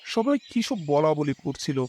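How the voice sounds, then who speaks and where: A man's voice: a little high, very animated, moderate pace, dark tone, a little hiss or hum. A man reading a scripted first-person horror story as its narrator. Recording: music behind the voice.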